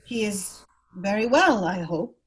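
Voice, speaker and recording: A woman's voice: medium pitch, expressive, unhurried, slightly rough. A woman playing a proud, unhappy, neglected aristocratic wife in an amateur radio play read, earnest and wounded. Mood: guarded, defiant.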